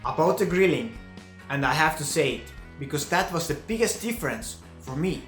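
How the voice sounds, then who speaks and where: A man with an Estonian accent, a native Estonian speaker, narrating his own vlog in earnest, slightly halting English. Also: on the high side, lively intonation, echoey room, over music. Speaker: vlogger.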